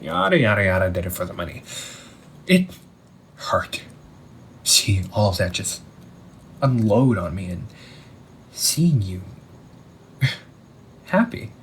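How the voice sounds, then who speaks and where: A man playing a vengeful undead older brother in an audio roleplay, menacing yet wounded, speaking in a theatrical, taunting way with drawn-out pauses. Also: lowish voice, a little hiss or hum. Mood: hurt, bitter. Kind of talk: scripted audio roleplay monologue addressed to the listener.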